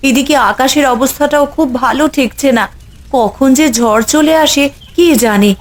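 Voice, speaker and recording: A woman's voice: high voice, moderate pace, lightly acted. A woman voicing a caring village mother in a cartoon, practical and talking to herself. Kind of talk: Bengali cartoon voice acting.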